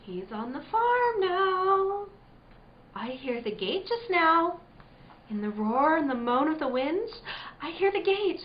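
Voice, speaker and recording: A woman's voice: very high voice, big pitch swings, slow pace, full character voice. An actress playing a haunted, fey young country girl in an old-time radio drama, with eerie, folksy, incantatory speech. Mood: eerie, dread. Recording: dull, echoey recording with background noise.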